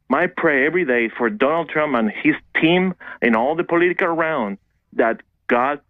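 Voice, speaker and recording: A man with a Venezuelan Spanish accent calls in over a tinny, narrow phone line that sounds dry and close. He speaks fervently and emphatically, in long run-on phrases, at an ordinary male pitch. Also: no room sound. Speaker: caller. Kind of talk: call-in radio, passionate political rant. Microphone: telephone.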